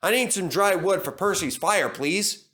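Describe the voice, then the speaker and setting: A man voicing a brisk, polite steam railway fireman in a matter-of-fact way for a children's storybook. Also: high-pitched, a bit bright, pitched above the performer's own voice. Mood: businesslike.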